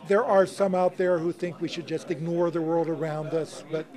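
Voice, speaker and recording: A man's voice: on the high side, little pitch movement, moderate pace, a little mellow. A middle-aged man, a faculty panelist, explaining earnestly in an interview and repeating his points for emphasis. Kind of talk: interview soundbite. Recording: crowd noise behind. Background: crowd chatter.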